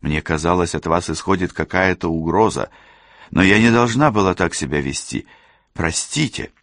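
A man's voice: sits low, normal speed, a bit bright, no room sound, clean studio sound. A man voicing a young female detective who pleads in a strained, hesitant, apologetic way.

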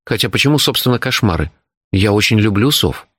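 A man voicing an excitable, wry, easily baffled male hero in an audiobook, with lively colloquial phrasing. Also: lowish voice, brisk, no echo, clean studio sound. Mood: wry, casual. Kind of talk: audiobook character voice.

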